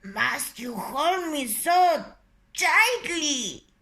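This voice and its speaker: A woman's voice: high-pitched, very animated, extremely slow, sharp tone, pitched above the performer's own voice, exaggerated voice. A woman voicing a clever, proud male rooster in a storybook tale, speaking in a coaxing, pleading manner. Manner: wheedling, pleading.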